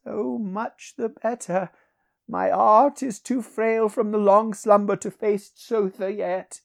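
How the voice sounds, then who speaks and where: A woman's voice: medium pitch, creaky, darkish tone, pitched above the performer's own voice. A woman voicing an ancient aristocratic male sorcerer with a wry, archaic, theatrical manner in a fantasy audiobook. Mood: scheming, wry.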